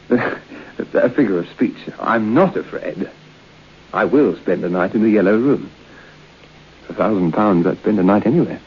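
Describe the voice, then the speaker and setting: A man acting a sceptical, faithless character in a radio horror drama, speaking in a polite, measured, somewhat hesitant manner. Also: fairly low pitch, slightly rough. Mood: dryly resolute. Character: sceptical, faithless, uncommitted man hired for a haunted night. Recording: dull, muffled old radio broadcast.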